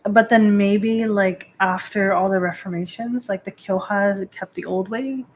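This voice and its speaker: A woman's voice: mid-range voice, steady tone, unhurried. Chatty woman live-streaming, faint hiss. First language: not Japanese. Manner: rambling, lots of "like" fillers, laughs often. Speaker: Shinto priestess hosting a live stream. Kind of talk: casual live-stream chat.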